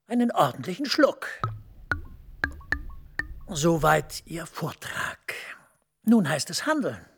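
An actor playing a calculating male EU director general, measured and authoritative, dropping into hushed asides. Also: high voice, creaky, slightly rough, full character voice. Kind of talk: German TV drama acting.

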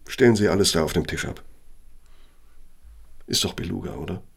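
An actor playing a pompous, bitter older former Nazi functionary dictating in a German radio play, sardonic and domineering. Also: male voice, low voice, moderate pace, gravelly, husky, heavily acted. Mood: irritated, commanding.

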